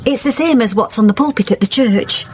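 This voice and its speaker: A woman's voice: on the high side, a little fast, slight background noise, lightly acted. Homely village wife with rural English accent, acted by a woman. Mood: kindly, chatty. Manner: chatty, homely, earnestly persuasive. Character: kindly, pious, plain-spoken village wife and mother. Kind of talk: radio drama acting.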